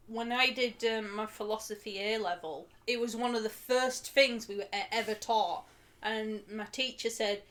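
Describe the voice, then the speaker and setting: Bright chatty young-sounding woman. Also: on the high side. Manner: chatty, lots of "like" fillers. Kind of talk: casual chat on a live stream.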